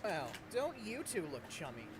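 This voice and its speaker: Casting: teasing male teammate played by an actor, high and lively voice, fantasy audio drama. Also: moderate pace, background noise. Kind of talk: scripted audio drama acting. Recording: loud score music over drama dialogue.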